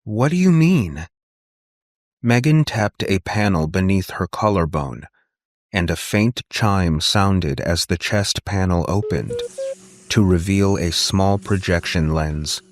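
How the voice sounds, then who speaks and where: A man with a deep voice reads a dramatic story. He takes his time, measured and deliberate with each phrase. The recording is dry, with no room around the voice. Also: dry recording. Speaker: audiobook narrator. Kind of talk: scripted dramatic story narration.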